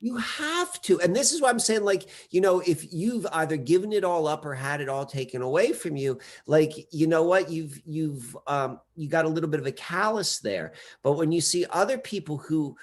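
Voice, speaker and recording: A man's voice: fairly high pitch, expressive, normal speed, few pauses. A man in his forties, a podcast guest, talking casually in a rambling way with many fillers and restarts. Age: 40s. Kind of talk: casual conversation.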